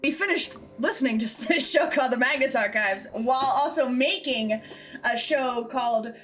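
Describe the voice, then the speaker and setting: A woman hosts an informal Q&A with a high, bright voice. She chats in a bubbly, casual way over background music. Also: normal speed. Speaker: host and show creator. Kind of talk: casual Q&A chat.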